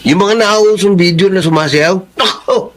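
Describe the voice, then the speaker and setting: A man's voice: high voice, normal speed. An actor playing a cheerful, easygoing poor family man, content with a simple life, in a folksy Filipino radio drama style. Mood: proud, carefree. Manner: folksy, chuckling, animated.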